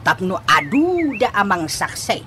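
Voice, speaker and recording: A man's voice: high voice, very animated, measured pace, bright, forceful tone, full character voice. Giddy old man madly in love, drawn out n swooping all over, noisy radio comedy. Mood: giddy, boastful. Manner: exaggerated, giggly, swooping delivery. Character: giddy lovestruck old man, doting father, boastful. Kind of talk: radio comedy drama acting.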